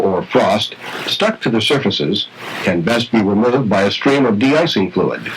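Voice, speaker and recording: A man with a fairly low voice narrates an instructional film. His delivery is formal and measured, setting out technical points with care. The soundtrack is clearly noisy, like a vintage training reel.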